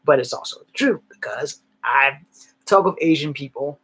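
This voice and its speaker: Guy rambling on camera, kinda husky, pitch a bit high for a dude. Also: expressive, moderate pace, bright, forceful tone. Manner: rambling, candid, swears casually. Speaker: vlogger. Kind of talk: casual storytelling monologue.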